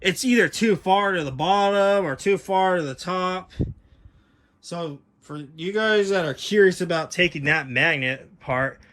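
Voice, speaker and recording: Higher-pitched American man, casual and rambling. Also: a little slow, fairly bright tone. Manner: rambling, folksy, conversational. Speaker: vlogger showing vintage audio gear. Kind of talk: casual unscripted product walkthrough.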